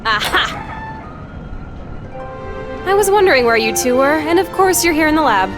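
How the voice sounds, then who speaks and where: A spirited young anime scientist with a very high, animated voice. She is teasing and playfully bossy, with clear music in the background. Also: normal speed. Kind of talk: anime game voice acting. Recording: music behind the voice.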